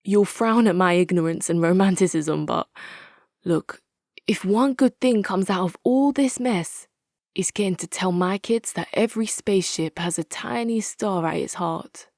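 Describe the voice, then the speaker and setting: A hard-nosed woman commander, played by an actress for a strategy video game. She speaks in measured, authoritative phrases with a wry edge and a middle pitch. The sound is dry and close, free of room echo. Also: darkish tone, lightly acted. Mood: reflective. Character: hard-nosed, articulate female military commander, dry and resolute. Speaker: video game faction leader. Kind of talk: dramatic scripted game quotes.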